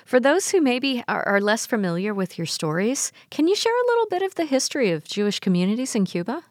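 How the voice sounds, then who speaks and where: A woman hosting a radio interview who asks questions in a gentle, conversational way. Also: a little high, very animated, fairly quick, no room sound, clean studio sound.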